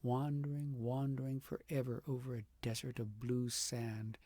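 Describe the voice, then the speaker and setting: Male audiobook reader, husky and creaky, dramatic fairy-tale delivery, close dry sound. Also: medium pitch, little pitch movement, moderate pace, mellow, no echo. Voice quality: gravelly, slightly husky. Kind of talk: expressive storybook narration of a fairy tale.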